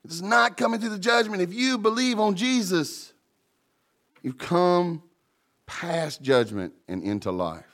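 A man's voice: high voice, expressive, a little slow, a bit bright. A man preaching a sermon with emphasis, calling out to the congregation and joking about his own mumbling. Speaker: pastor.

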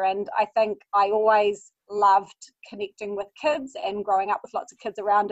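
A woman's voice: mid-range voice, no room sound. A woman in her thirties with a New Zealand accent, a mindfulness coach chatting as a guest on a podcast. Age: 30s. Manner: warm, chatty storytelling with fillers. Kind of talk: podcast interview. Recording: dull, lo-fi sound.

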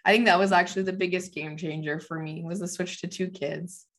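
A woman in her thirties, hoarse from a cold, co-hosting a casual chat and laughing often. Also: fairly low pitch, steady tone, fairly quick. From Canadian prairies. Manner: chatty, laughs often, many likes. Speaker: podcast co-host, farm mom.

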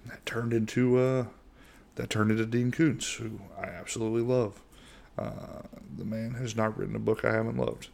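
A middle-aged man from the United States hosting a casual solo podcast in a monotone voice, rambling with lots of fillers and restarts. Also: lowish voice, moderate pace, vocal fry. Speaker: podcast host.

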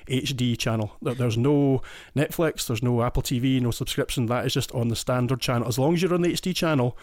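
A man's voice: medium pitch, a little fast, a little mellow, dry recording, studio quality. A man with a Scottish accent, a listener guest on a podcast, explaining his home audio setup in a chatty, detailed way. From Scotland. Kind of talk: casual explaining.

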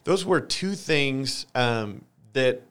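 A man's voice: medium pitch, animated, drawn-out words, a bit bright, clear, resonant tone. A man in his forties with an American accent speaks as a guest in a church conversation. He sounds earnest and open, giving personal testimony and repeating himself to drive a point home. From Michigan, United States. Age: 40s. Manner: earnest, confessional, emphatic repetition.

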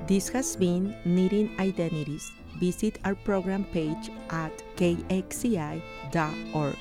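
A woman's voice: on the low side, steady tone, measured pace. A woman hosting a community radio program, reading a formal intro and asking interview questions. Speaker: radio host. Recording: radio voice over faint violin music.

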